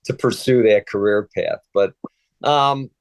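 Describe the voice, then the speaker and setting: A man in his sixties from Chicago, a native Polish speaker, talking in a relaxed, hesitant way with many fillers and restarts as an interview guest. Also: lowish voice, unhurried, a little hiss or hum. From Chicago, USA. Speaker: interview guest, retired Secret Service agent. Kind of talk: casual conversational interview.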